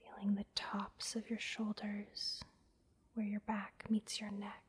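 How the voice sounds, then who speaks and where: Slow, breathy whispering woman. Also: mid-range voice, completely flat delivery. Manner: gentle, soothing, instructing softly with pauses. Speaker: massage therapist and meditation guide, host. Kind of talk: whispered ASMR guided meditation.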